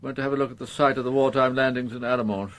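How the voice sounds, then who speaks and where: An actor playing a fussy upper-class English gentleman in a radio crime drama, languid, grumbling and well-mannered. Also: medium pitch, steady tone, rapid-fire, natural voice.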